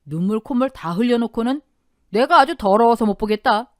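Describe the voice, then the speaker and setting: A woman voicing a blunt, gruff older male restaurant owner who scolds loudly but kindly, in a dry recording. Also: a little high, expressive, a little fast, no room sound, voice pushed up, close to an everyday voice. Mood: scolding.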